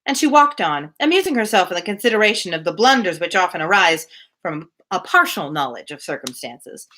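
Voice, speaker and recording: Bright, animated woman reading aloud. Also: on the low side, a little fast. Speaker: reader, livestream host. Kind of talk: expressive live novel reading with casual asides.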